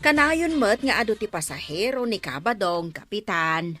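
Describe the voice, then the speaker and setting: A woman's voice: medium pitch, lively intonation, normal speed, fairly bright tone, faint music behind, lightly acted. An actress with an Ilocano accent playing a gossipy, tattling village woman in a radio comedy drama.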